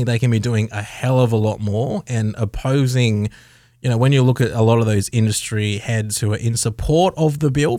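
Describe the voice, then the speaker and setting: A man's voice: mid-range voice, warm tone, no room sound. An Australian man co-hosting a radio show, talking conversationally and measuredly in long, winding, qualified sentences on a close studio mic. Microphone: close studio radio microphone.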